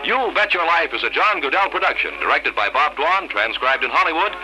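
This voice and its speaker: A man's voice: on the high side, very animated, brisk, over music, poor audio. An American man announcing on a 1940s radio show in a polished, emphatic scripted style. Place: radio studio. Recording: vintage 1940s radio broadcast recording.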